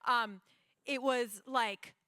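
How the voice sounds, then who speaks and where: Bright-voiced young woman, candid. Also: fairly high pitch, steady tone, drawn-out words, no room sound, studio quality. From United States. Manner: earnest, laughs often, many fillers and restarts. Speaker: guest storyteller. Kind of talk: candid conversational storytelling.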